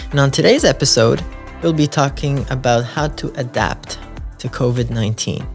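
A chatty, upbeat man co-hosts a casual podcast, peppering his talk with "you know" and "like". His voice sits in an average pitch range, and a faint bed of music runs underneath. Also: normal speed, gravelly. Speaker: podcast co-host.

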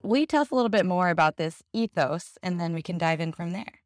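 A woman's voice: lowish voice, lively intonation, quick pace, dry recording, clean studio sound. A woman co-hosting a podcast, steering the conversation with playful questions. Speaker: podcast co-host.

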